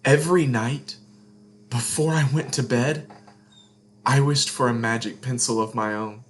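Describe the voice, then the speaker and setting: Slow-paced man reading a children's storybook. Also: medium pitch, fairly even intonation, slight background noise. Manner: deliberate phrasing, emphatic, explains words to young listeners. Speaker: children's read-along host. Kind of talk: expressive storybook read-aloud for children.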